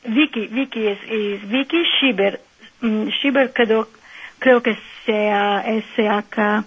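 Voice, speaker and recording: Italian woman guest, husky voice on a dull phone line, halting speech with fillers. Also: medium pitch, steady tone. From Italy. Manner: hesitant, many fillers, searching for words. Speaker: nun and guest. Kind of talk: radio phone interview.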